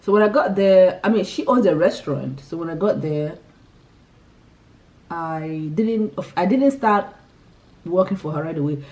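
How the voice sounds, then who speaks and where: A woman's voice: lowish voice, a little mellow, far from the mic, low-quality recording. A young Nigerian woman, Igbo by birth, chatting casually through a list of questions for her vlog, giggling and often restarting her sentences. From Nigeria, Imo State. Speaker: vlogger. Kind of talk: casual chat answering a tag list.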